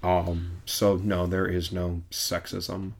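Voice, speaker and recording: A man with a lowish voice talks in a relaxed, rambling way. He restarts his sentences often and leans on fillers, like a regular talking shop on a casual podcast. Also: slow, creaky. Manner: easygoing, loose, with many restarts and fillers. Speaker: supporters' group capo.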